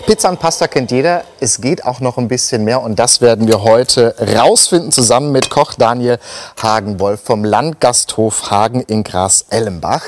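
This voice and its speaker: A man's voice: mid-range voice, normal speed. Chatty man hosting a TV cooking show. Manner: chatty, enthusiastic questions. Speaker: TV presenter. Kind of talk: cheerful TV show presenting. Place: bright TV studio kitchen.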